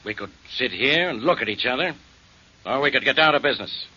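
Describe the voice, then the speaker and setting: An actor playing a pushy police inspector who presses a suspect, in 1940s radio drama style. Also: male voice, mid-range voice, animated, brisk, pushed and bright, husky, exaggerated voice. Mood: accusing. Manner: insistent, accusing. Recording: dull old radio broadcast.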